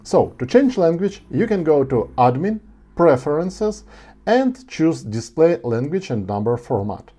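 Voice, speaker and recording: Male instructor, 30s, warm low-key tone, animated delivery, studio lapel mic. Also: measured pace. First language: Ukrainian. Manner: explains step by step in non-native English, gesturing with his hands. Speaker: online ads instructor. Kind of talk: screen-share tutorial. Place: dark studio with blue backlight. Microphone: small lapel mic.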